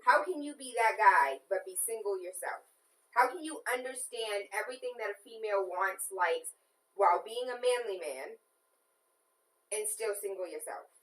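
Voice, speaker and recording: Lively woman host in an echoey room. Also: medium pitch, normal speed. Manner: teasing, animated. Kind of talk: casual chat. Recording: roomy, mic set far off.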